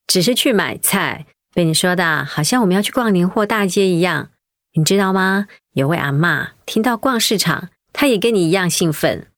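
A woman's voice: fairly low pitch, lively intonation, normal speed, no echo, clean studio sound, lightly acted. An actress playing a kind, patient middle-aged mother who tells her daughter a family story and looks after an elderly aunt. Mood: storytelling. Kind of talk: radio drama acting.

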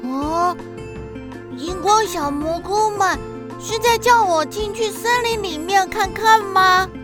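A woman playing Darkness as a lonely, timid child in a children's radio drama, plaintive and wide-eyed with drawn-out exclamations. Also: very high-pitched, over music. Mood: curious.

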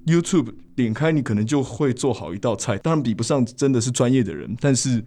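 Male guest, Taiwanese Mandarin accent, mid-pitched and dark-toned, easygoing casual chat. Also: lively intonation, brisk. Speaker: guest, theatre performer.

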